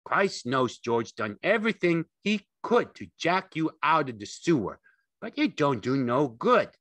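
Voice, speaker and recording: A man's voice: on the high side, very animated, unhurried, fairly bright tone, no room sound, pitched above the performer's own voice, full character voice. A man voicing a gigantic imaginary rabbit in an audiobook, scornful and cruelly taunting in coarse speech.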